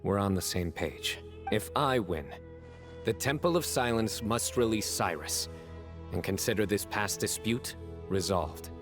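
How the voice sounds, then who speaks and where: A stern young desert general in game dialogue. His voice is very low and grave, and every line is measured. Faint music plays beneath him. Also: animated. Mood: solemn. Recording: game audio with soft music.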